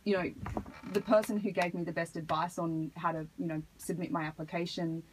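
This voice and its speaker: A woman answering as a radio interview guest, earnest and measured, picking her phrasing hesitantly as she explains. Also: fairly low pitch, steady tone. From Australia. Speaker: hip hop artist, interview guest. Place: radio studio. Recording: noticeable background noise.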